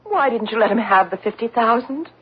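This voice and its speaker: A woman playing a lonely, fearful, persistently pleading wife in a radio drama, with a husky voice. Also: on the high side, lively intonation, fairly quick. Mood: regretful, pleading. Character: lonely, fearful, wounded wife of an overbearing businessman. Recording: dull lo-fi radio broadcast recording.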